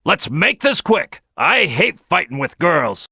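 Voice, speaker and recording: An actor voicing a brash, gung-ho male crocodile detective boss in a gruff, raspy video game voice. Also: on the high side, sing-song, slow, bright, forceful tone, dry recording, clean studio sound, exaggerated voice. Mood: brash, commanding.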